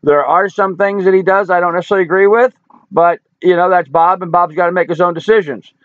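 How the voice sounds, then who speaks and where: Older male live-stream host, hoarse and folksy, dry lo-fi sound, slightly high voice. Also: fairly even intonation, fast talker, fairly bright tone, dry recording. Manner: chatty, greets viewers by name, folksy. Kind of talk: casual live chat reading viewer comments. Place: home in rural Alaska.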